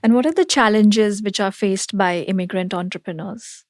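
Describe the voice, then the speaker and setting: A woman's voice: medium pitch, normal speed, no echo, clean studio sound. Female podcast interviewer, brief and to the point. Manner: brief questions and short acknowledgements. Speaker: podcast host. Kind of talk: interview questions.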